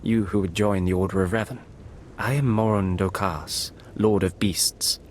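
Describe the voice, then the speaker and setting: Guy doing a stern alien sith beast master for a game, lowish voice, formal and menacing, some background noise. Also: fairly even intonation, moderate pace, lightly acted. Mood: solemn. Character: solemn alien male Sith beast lord. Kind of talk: video game voice acting.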